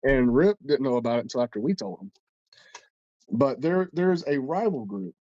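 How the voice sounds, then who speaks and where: A man's voice: mid-range voice, expressive, normal speed, a little mellow, no echo, lo-fi sound. A man co-hosting a casual podcast, stuffed up and congested, telling a long story in a rambling, profane way with many restarts. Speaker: podcast co-host.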